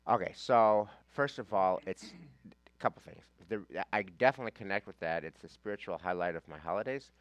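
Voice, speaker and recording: Male rabbi for a study-class debate: low register, insistent repetition, very dry recording. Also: a little fast, dry recording. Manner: emphatic, repeats phrases for stress. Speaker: rabbi leading a class discussion.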